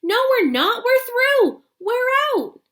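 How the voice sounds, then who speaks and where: A woman voicing an eccentric, cheerful male chocolate maker who talks in excited exclamations. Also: sits high, moderate pace, pitched above the performer's own voice. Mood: gleeful. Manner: gleeful, exclamatory. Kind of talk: storybook read-aloud.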